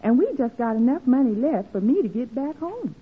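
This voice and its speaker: A woman's voice: on the high side, animated, brisk, husky. A woman playing an earnest, modest young bride in a 1940s radio comedy, speaking in a folksy, apologetic way. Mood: earnest, wistful. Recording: muffled old radio broadcast.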